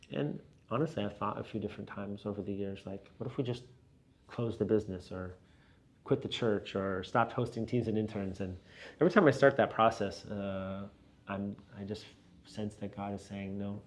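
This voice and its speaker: Thoughtful middle-aged man, fairly low voice. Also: fairly even intonation, moderate pace. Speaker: business and church leader being interviewed. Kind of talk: casual reflective interview talk.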